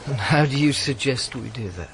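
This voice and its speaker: A man's voice: mid-range voice, lively intonation, normal speed, noisy background, lightly acted. Man playing dry, world-weary Roman agent. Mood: dry, resigned. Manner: dry, sardonic, understated. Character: wry, world-weary Roman imperial agent narrating his own misadventures. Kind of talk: first-person radio drama narration and dialogue.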